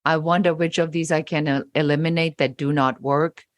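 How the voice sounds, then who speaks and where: A woman's voice: low voice, steady tone, normal speed, dry recording. A woman with a German accent, a guest on a podcast interview, speaking emphatically and stacking points in rising lists.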